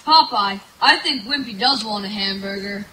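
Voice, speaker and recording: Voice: medium pitch, moderate pace, pushed and bright, close to an everyday voice, low-quality recording. An actor playing an eager, plucky young boy in a cartoon radio play. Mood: curious, cheerful. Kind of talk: old-time radio cartoon acting. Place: outdoor stage in bamboo woods. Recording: live outdoor stage, background noise.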